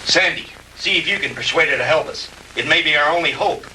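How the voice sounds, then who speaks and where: An actor playing an urgent, earnest, commanding hero in a 1960s B-movie. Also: male voice, fairly high pitch, fairly quick, heavy background noise, close to an everyday voice. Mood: hushed urgency. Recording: echoey old film soundtrack, loud music.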